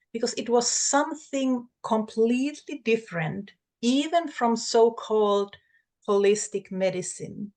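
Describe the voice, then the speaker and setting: Slow, careful Finnish woman host. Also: a little high, a little mellow. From Finland. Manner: careful, deliberate phrasing. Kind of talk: interview introduction.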